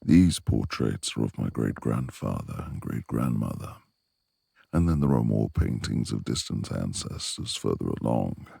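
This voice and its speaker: A man playing a brooding, reclusive beast-like lord in a romantic ASMR roleplay, proud and courteous with a quick temper, speaking in formal, old-fashioned phrases with a gravelly, husky voice. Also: extremely low pitch, animated, dark tone, dry recording, studio quality, full character voice. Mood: polite, guiding.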